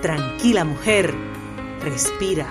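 Woman announcing over loud music. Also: low voice, expressive, slow. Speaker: podcast intro announcer. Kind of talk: scripted intro over music. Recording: loud music bed under the voice.

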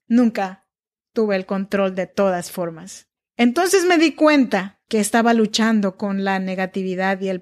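A woman hosts her podcast alone, talking to the listener in a confiding, personal way. Her voice sits a little higher than most women's. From Mexico. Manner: warm, confiding, talks directly to the listener. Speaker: podcast host.

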